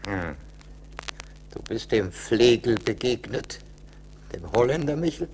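A tiny, centuries-old forest spirit in an old radio play. He has a medium-pitched voice and speaks in a paternal, measured way, kind but stern. Faint hum sits behind him. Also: expressive, a little mellow. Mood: knowing. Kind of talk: 1980s German radio play acting.

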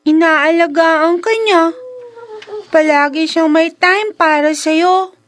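Very high-pitched little girl. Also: takes it slowly, a bit bright, heavily acted. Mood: wistful, earnest. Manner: childlike, plaintive. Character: sweet young girl, wistful daughter longing for affection. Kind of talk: Filipino radio drama acting.

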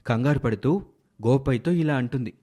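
A man narrating a scripted moral story as a storyteller, in a dramatic, expressive way. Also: medium pitch, a little fast.

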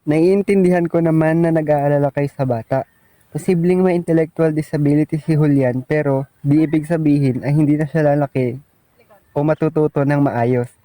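Radio drama physician, male actor: calm, explanatory, soft-toned, reassuring, very dry sound. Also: on the high side, no room sound, natural voice, low-quality recording. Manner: measured, explanatory. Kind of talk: amateur radio drama acting.

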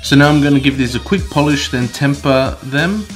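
A young man with a stuffy, congested voice, explaining his hobby work to camera in a relaxed, casual vlog. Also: medium pitch, moderate pace, roomy sound, soft background music. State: congested from a cold. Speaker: hobby watchmaker vlogger. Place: home workshop, indoors.

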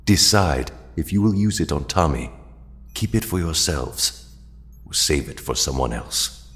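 A man playing an ancient alien mentor in an audio drama, speaking in a grave, measured, formal way. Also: extremely low pitch, animated, fairly bright tone, faint music behind, heavily acted. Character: ancient, solemn alien mentor to young heroes. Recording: long cavernous reverb.

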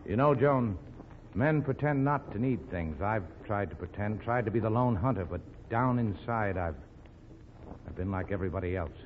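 An actor playing a doomed fugitive in love, tender, resolute and earnest, in old radio drama style. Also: male voice, on the low side, normal speed, a little hiss or hum, close to an everyday voice. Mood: tender, wistful. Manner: earnest, romantic, melodramatic. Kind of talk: 1940s radio drama acting. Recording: tinny old radio recording with music.

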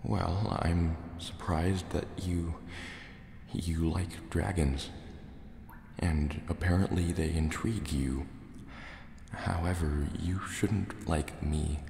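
Very deep, gravelly sea serpent dragon, acted by a man. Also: fairly even intonation, exaggerated voice. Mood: hesitant, softening. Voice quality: gravelly, softly spoken. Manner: slow, hesitant, formal wording. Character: wary, brooding male sea serpent dragon in a cave. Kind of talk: soft-spoken ASMR roleplay. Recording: cavernous echo, long reverb, faint water noise.

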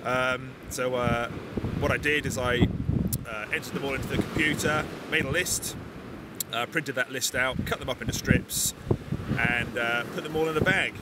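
A man in his forties, from England, chatting casually outdoors on a beach, relaxed with lots of ums and uhs. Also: medium pitch, moderate pace. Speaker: bushcraft vlogger. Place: outdoors on a sunny beach, windy. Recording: loud wind and sea noise.

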